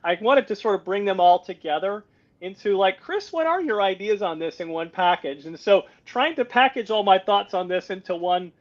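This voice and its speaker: A middle-aged American man, a guest on a podcast, talking in a relaxed, self-deprecating way and often restarting his sentences over a video call. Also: high voice, normal speed. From United States. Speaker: guest, policy advisor. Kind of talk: conversational interview. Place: home on a Greek island. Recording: dull lo-fi call audio.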